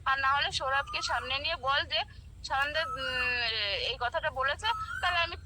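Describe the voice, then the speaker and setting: A woman's voice: sits high, moderate pace, heavy background noise. A woman on the phone in a heated informal argument, defending herself indignantly and repeating herself. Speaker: friend receiving a prank call.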